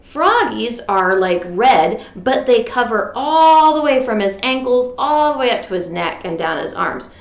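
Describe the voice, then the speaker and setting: A woman's voice: a little high, expressive, measured pace, hardly any pauses, echoey room. A woman reading a picture book to children in a playful, interactive way, coaxing listeners along. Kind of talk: animated children's read-aloud with sung refrains and asides.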